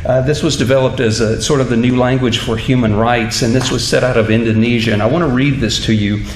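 A middle-aged man, a minister, giving an earnest, halting public talk at a church lectern into a stand microphone. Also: mid-range voice, steady tone. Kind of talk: public lecture in church, reading from notes. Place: church stage at a lectern, indoors. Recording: roomy hall echo, clear background noise.

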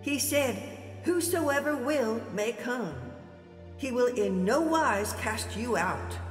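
Radio drama grandmother: elderly, devout, grave warnings, unhurried, echoing like a memory. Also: on the high side, animated. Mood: earnest pleading. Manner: solemn, preachy, measured. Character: pious old grandmother, solemn and warning her grandson. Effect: dreamlike reverb of a remembered voice. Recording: echoey long reverb with music.

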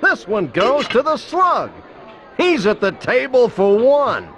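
A man playing a flamboyant, excitable bug restaurant host with theatrical delivery in a children's computer game. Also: very high-pitched, slow pace, heavily acted. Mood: cheerful, encouraging.

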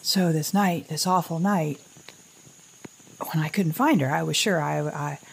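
An anxious, slow-spoken, wry survivor mother. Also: low voice, creaky, a little hiss or hum, rough recording. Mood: haunted, tense. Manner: halting, rambling, self-interrupting, wry. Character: anxious, wry middle-aged mother surviving alone after apocalypse. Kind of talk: audio drama monologue.

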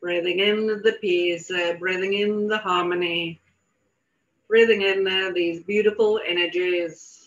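A woman's voice: lowish voice, fairly even intonation. Woman guiding meditation, dull lo-fi recording. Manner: soothing, deliberate, repetitive phrasing. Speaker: meditation guide. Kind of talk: guided meditation. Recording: dull lo-fi audio.

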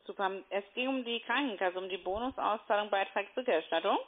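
A woman making an unscripted sales call, brisk and argumentative. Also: medium pitch. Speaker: telemarketing caller. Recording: thin tinny phone line.

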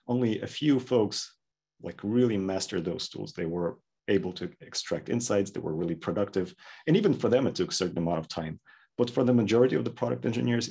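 A man in his thirties with a husky voice, explaining things conversationally and pausing mid-sentence as he goes. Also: sits low, a little mellow. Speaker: product engineer, interview guest. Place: indoor room, plain backdrop, video call.

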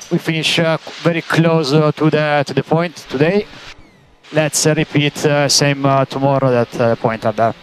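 Male race engineer on noisy team radio. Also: on the high side. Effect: team radio transmission. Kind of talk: terse instructions over team radio.

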